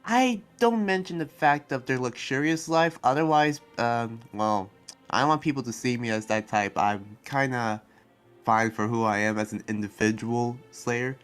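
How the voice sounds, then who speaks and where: A man playing a kind, idealistic young demon slayer and researcher in an improvised roleplay, speaking earnestly and haltingly. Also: mid-range voice, clean tone, soft background music, lightly acted. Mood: fond. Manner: hesitant, earnest, halting with restarts.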